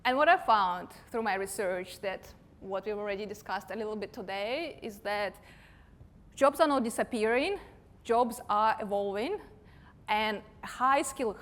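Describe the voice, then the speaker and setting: A woman in her thirties from Russia, speaking English with a Russian accent, gives a chatty, self-deprecating conference talk with light laughs. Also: mid-range voice. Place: large conference hall. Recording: long hall reverb.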